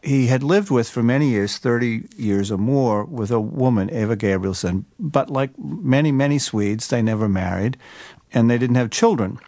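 A man explaining things in measured, careful phrases as an interview guest. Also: medium pitch, dark tone, dry recording. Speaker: newspaper writer, interview guest.

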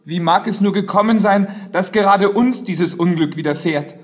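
A man's voice: high-pitched, moderate pace, close to an everyday voice. A man playing a worried, despairing and polite young prince in a fairy-tale radio play. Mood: sorrowful.

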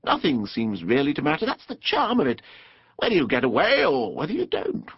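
A genial water rat from a storybook, acted by a man, hearty and worldly with a dreamy, musing streak. The pitch sits raised and moves about a great deal, and the sound is a little dull. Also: wildly swooping pitch, brisk, slightly rough, dry recording, exaggerated voice. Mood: composed musing.

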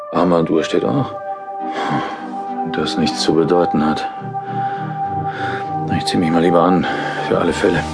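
An actor playing a hard-bitten, exasperated police inspector in a German radio play, blunt and dramatic. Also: male voice, extremely low pitch. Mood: uneasy, weary.

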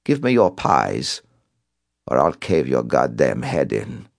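Guy doing a creepy european villain on the phone, slow n taunting, calm menace, audiobook style. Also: very deep voice, creaky, no room sound, studio quality, pitched below the performer's own voice, heavily acted. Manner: slow, flat, playfully menacing delivery. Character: sinister European male bomber taunting police by phone.

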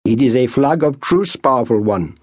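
Sly flowery sergeant, as a male reader puts him on, husky, dry, lively pitch. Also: moderate pace, dry recording, lightly acted. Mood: mock-flattering. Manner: elaborate mock flattery.

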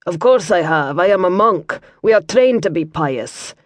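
A woman's voice: on the low side, lively intonation, moderate pace, dry recording, studio quality, lightly acted. A woman voicing a pious, easily flustered Scottish monk who speaks in a prim, reproving way. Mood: earnest.

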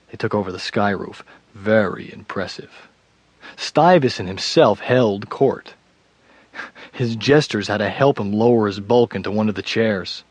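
An actor playing a troubled, self-deprecating husband in a radio drama, halting and stammering with a wry, evasive manner. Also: male voice, on the low side, no room sound. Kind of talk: naturalistic radio drama acting.

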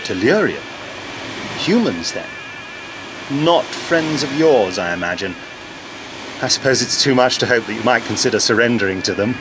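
An actor playing a polite, eccentric wanderer in a sci-fi audio drama, rambling courteously with old-fashioned turns of phrase. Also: male voice, mid-range voice, animated, moderate pace, pauses often, noisy background, natural voice. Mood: wry, polite. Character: polite, eccentric wandering man, chatty and gently wry.

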